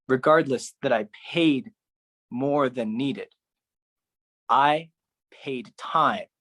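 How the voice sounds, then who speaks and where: A solo performer delivering a poetic monologue in a theatrical, deliberate way, with dramatic pauses and repetition. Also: medium pitch.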